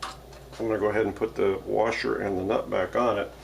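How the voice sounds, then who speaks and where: A man's voice: fairly low pitch, flat delivery, a little fast, gravelly, slight background noise. A man giving casual, step-by-step narration as he works, muttering his thoughts aloud in a workshop. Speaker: vintage radio restorer hosting a workshop video. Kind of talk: casual hands-on narration while working. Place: home workshop.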